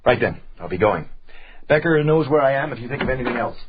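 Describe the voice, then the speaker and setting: An actor playing a matter-of-fact police sergeant in a detective radio drama, brisk and formal. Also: male voice, medium pitch, animated, natural voice. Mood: businesslike. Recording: dull lo-fi audio, noisy background.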